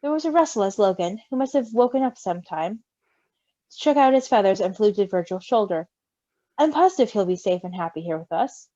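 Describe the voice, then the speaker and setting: A woman reading fan fiction and chatting casually, with a giggly, expressive manner. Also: mid-range voice, a little fast, no echo, muffled recording. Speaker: story reader and co-reactor.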